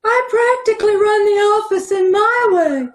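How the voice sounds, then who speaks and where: A woman playing a dim-witted young female secretary in an old-time radio comedy, with a whiny, breathy delivery over a video call. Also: extremely high pitch, normal speed. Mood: airheaded.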